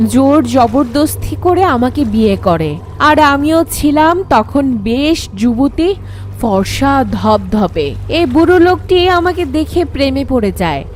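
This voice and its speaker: A woman voicing the vengeful, bitter ghost of a drowned young wife in a horror cartoon, with a drawn-out, taunting delivery. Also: high-pitched, slight background noise. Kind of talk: dramatic horror story voice acting. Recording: music bed under the voice.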